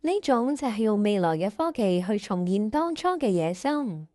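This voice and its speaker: A woman's voice: medium pitch, sing-song, moderate pace, dark tone, no room sound, studio quality, close to an everyday voice. A woman playing an eager, anxious junior employee who also presents a comedic workplace radio drama. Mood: confident pitching.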